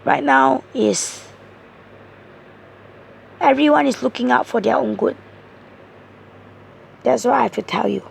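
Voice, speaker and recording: A hoarse woman giving a tarot card reading, explaining each card point by point in an earnest way. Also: sits high, measured pace, pauses often, slight background noise. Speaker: tarot reader.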